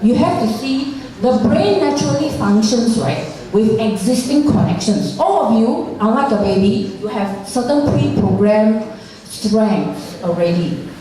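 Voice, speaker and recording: A woman's voice: on the high side, animated, unhurried, warm tone. A woman teacher gives a rambling classroom lecture over slides, full of fillers and tag questions. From Singapore. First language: not Mandarin. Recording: very echoey room, far from the mic.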